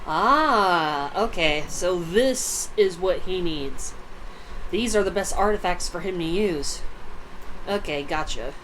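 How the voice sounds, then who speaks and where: A woman's voice: fairly low pitch, lively intonation, takes it slowly, a little hiss or hum, low-quality recording. A woman streamer giving casual, playful live gameplay commentary, half muttering to herself. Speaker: game streamer. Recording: loud music behind the voice.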